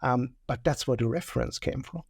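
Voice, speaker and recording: A man's voice: medium pitch, fairly quick, gravelly, husky, no room sound, studio quality. A man in his forties from Germany with a German accent, chatting casually and joking about himself as a podcast guest. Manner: chatty, self-deprecating, joking. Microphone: studio mic.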